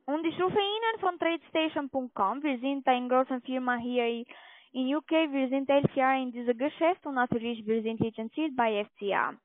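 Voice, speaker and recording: Female telesales caller, high voice, non-native speaker with halting grammar, tinny phone line. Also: dry recording. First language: not German. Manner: halting, ungrammatical German. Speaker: telephone sales caller. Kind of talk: cold-call sales pitch.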